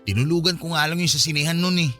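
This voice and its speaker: A man's voice: fairly high pitch, fast talker, a bit bright, soft background music, close to an everyday voice. A man playing a teasing young man bantering casually with a close friend in a radio drama.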